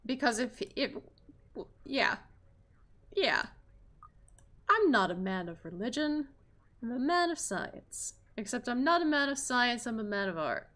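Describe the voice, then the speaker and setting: A woman livestreaming a visual novel, chatting and reacting aloud to the characters in an informal, expressive way. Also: a little high, measured pace, pauses often. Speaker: streamer playing a visual novel.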